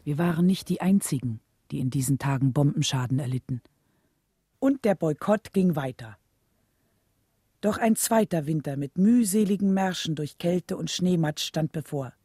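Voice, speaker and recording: An actress playing a composed young wife and mother, narrating a radio drama in a measured, sober way. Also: sits low, mellow, slightly rough, no echo, studio quality.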